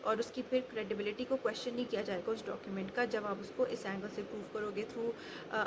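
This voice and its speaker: A woman giving an explanatory law lecture, taking it step by step and switching in English terms. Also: mid-range voice. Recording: clearly noisy background.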